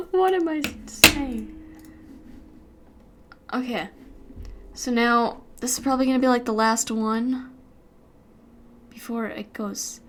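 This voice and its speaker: A woman's voice: fairly high pitch, slow pace, long pauses, background noise. A young American woman chatting casually and rambling while she works on an animation, with lots of giggles. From United States. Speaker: YouTuber animating while streaming. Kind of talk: casual chat while working.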